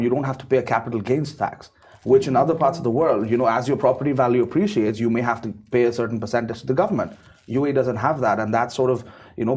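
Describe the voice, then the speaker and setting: A man's voice: mid-range voice, a little mellow, slightly rough. A man speaking as a guest in a casual panel discussion, often repeating words and ending points with "right?". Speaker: business setup guest.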